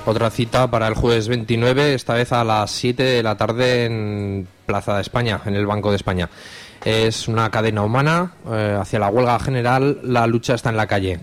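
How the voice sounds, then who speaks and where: A man with a Spanish accent from Spain, presenting event listings on a radio show. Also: mid-range voice. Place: radio studio. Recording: faint music underneath.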